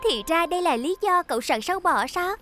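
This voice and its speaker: A sweet young ambulance robot, has a very high, animated voice. She is gentle and caring, in cartoon style. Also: brisk. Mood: reassuring. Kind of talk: cartoon dub. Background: music.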